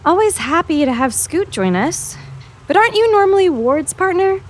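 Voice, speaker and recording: Woman acting a sassy earnest high school girl, high voice, swoopy and insistent, audio drama. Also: sing-song, moderate pace, a little hiss or hum. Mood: curious, eager. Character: Sally Greer, pushy, earnest teenage girl student journalist. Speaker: voice actor.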